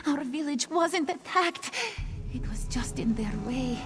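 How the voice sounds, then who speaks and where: A woman's voice: high-pitched, animated, unhurried, over music. A woman voicing an anxious, insistent old feline grandmother in a video game, referring to herself in the third person. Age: older.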